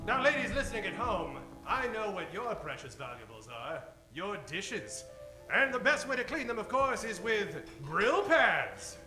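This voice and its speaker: A man playing the narrator and sponsor's pitchman of an old-time radio show, telling the story in a folksy style. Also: very animated, unhurried. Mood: sales pitch. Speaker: narrator and announcer. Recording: roomy sound with music behind.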